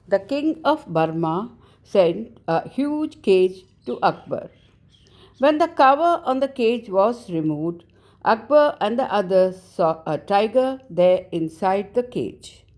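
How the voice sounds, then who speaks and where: A woman narrating a scripted folk tale in a measured, deliberate storytelling manner. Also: mid-range voice, darkish tone.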